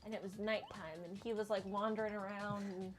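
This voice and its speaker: A soft-spoken woman chatting casually over a video game, giggling often and stumbling over her words. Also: mid-range voice, fairly even intonation, a little fast, over music. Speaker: co-host of a gameplay show. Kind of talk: casual chat over gameplay.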